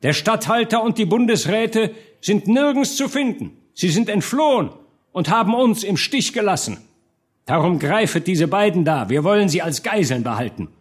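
A strong burgher leader played by a male reader calls out in a high, raised voice. He is decisive and commanding, rallying townsmen in a storybook tale with animated intonation. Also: moderate pace, breathy edge, full character voice.

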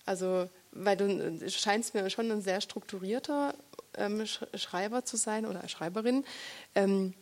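A woman interviewing an author at a book reading, talking thoughtfully and pausing often to search for words. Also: mid-range voice, darkish tone. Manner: reflective, searching for words with many pauses.

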